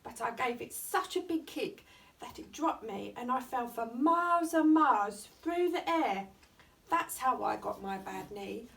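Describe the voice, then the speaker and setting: A woman reads a story for young children in a somewhat high voice. Her delivery is expressive storytime narration, picked up a little way from the mic. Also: a little slow, vocal fry, far from the mic, rough recording. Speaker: storytime reader at a primary school.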